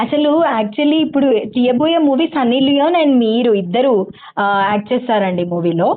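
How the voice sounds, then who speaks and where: A fairly high female voice comes down a tinny phone line. She plays a prank caller, putting questions in a teasing, mock-formal manner. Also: brisk, slight background noise.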